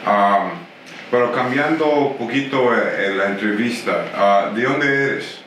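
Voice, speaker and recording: A man's voice: mid-range voice, unhurried, fairly bright tone. A man interviewing a guest, hesitant with frequent fillers. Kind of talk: interview questions. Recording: distant roomy off-camera voice with faint noise.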